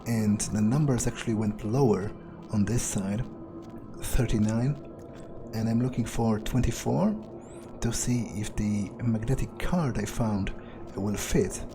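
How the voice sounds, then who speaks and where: A man roleplaying a weary, wary, sardonic vampire in a video game, thinking aloud in a halting, sweary mutter. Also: on the low side. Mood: focused, musing. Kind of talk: in-character improvised gameplay monologue.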